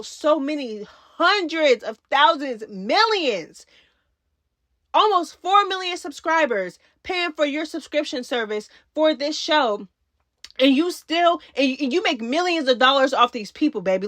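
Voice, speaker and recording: A woman's voice: high voice, fairly bright tone, no room sound, studio quality. A woman hosting a casual reality TV commentary video, with sassy, slangy talk aimed straight at her viewers. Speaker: YouTube host.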